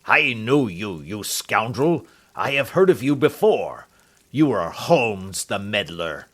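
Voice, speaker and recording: A man's voice: mid-range voice, animated, slow. A man putting on a furious blustering old man. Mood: contemptuous. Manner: loud, blustering, snarling. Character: fierce, furious old man, threatening bully.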